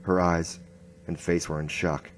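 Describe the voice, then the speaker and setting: A man's voice: low voice, flat delivery, unhurried, slight background noise. A man playing a grim hard-boiled inspector, narrating tersely in a noir radio drama. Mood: tense narration.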